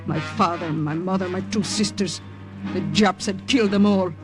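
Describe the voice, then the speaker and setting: A woman's voice: lowish voice, normal speed, music in the background, lightly acted. Guarded, bitter tavern waitress played by a woman. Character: bitter, guarded young waitress in a waterfront dive. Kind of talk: 1950s radio drama acting, narrating.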